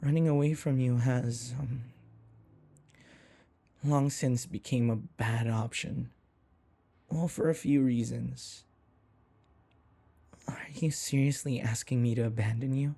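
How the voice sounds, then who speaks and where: A man acting a nervous, devoted young manservant in an audio roleplay, hesitant and deferential, with a soft, breathy, gravelly voice. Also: mid-range voice, steady tone, slow pace, long pauses. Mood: anxious, devoted. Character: nervous, devoted, submissive young human manservant to a vampire mistress.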